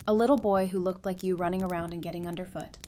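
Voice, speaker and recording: A woman's voice: fairly low pitch, steady tone, fast, mellow, lightly acted. Woman playing a teasing young wife, noisy recording. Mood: tender, candid. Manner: casual, teasing, conversational. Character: teasing, affectionate young wife with casual speech. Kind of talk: fan audio drama acting. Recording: clear background noise and ambience.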